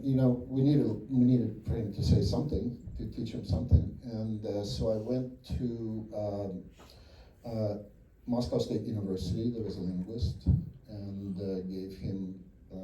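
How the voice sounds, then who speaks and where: Low dark-voiced man, roomy theater. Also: a little hiss or hum. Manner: rambling, thinking aloud with many fillers. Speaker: film director. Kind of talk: post-screening Q&A. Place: cinema auditorium. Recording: echoey theater from far off.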